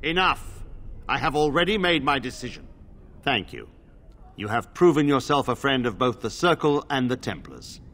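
Man acting stern, expressive knight commander. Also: mid-range voice, normal speed, close to an everyday voice. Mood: firm. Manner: formal, authoritative, curt. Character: stern, dutiful male knight commander of templars.

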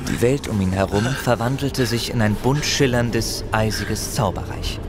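A man's voice: mid-range voice, a little slow. A man narrating a fantasy audio play in a dramatic, scripted storytelling style. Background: loud music.

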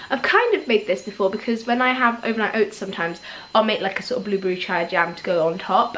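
A woman's voice: mid-range voice, a bit bright, slight background noise, low-quality recording. A young woman in her twenties chatting casually to a handheld camera in her home kitchen, with lots of filler words. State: croaky just-woken voice. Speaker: vlogger. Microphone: handheld camera at arm's length.

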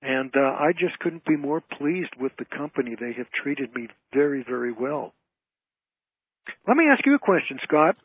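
A man's voice: mid-range voice, animated, normal speed, slight background noise. An older man, an entertainer talking as an interview guest, emphatic and given to repeating his phrases. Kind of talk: conversational interview. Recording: thin, tinny phone line.

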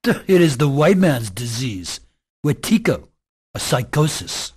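A man acting a defiant resistance fighter in a radio drama, with a husky voice. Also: mid-range voice, sing-song, takes it slowly, a little mellow, full character voice.

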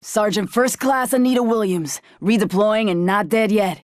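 An actress playing a curt, no-nonsense army sergeant in a video game. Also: female voice, mid-range voice, moderate pace, no room sound, clean studio sound, natural voice. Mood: resolute.

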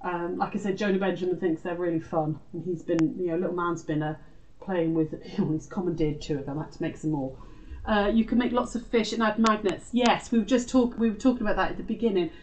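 British woman, low-pitched, echoey craft demonstration. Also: steady tone, a little fast, darkish tone, slight background noise. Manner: chatty, informal, talks to self while working. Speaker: craft tutor and live show host. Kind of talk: casual live craft demonstration. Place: indoor craft room. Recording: echoey room, distant microphone.

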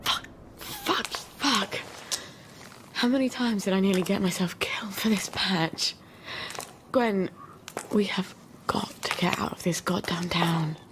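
An actress with an English accent playing an anxious, sharp-tongued, protective young mother in a video game drama. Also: mid-range voice, very animated, slow pace, long pauses, background noise. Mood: frantic, hushed.

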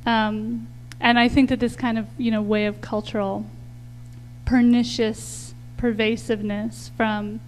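A woman's voice: on the high side, little pitch movement, a little slow, a little hiss or hum, poor audio. A woman moderating a panel discussion, asking long casual questions full of you-knows. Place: stage of a lecture hall before an audience.

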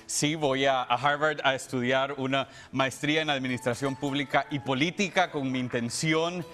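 Male TV presenter, 30s, from El Salvador, earnest and thankful farewell speech, mid-pitched, light music underneath. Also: steady tone, normal speed, sharp tone. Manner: earnest, grateful. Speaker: TV presenter saying farewell to the show. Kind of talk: heartfelt unscripted farewell talk. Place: television studio. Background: music bed.

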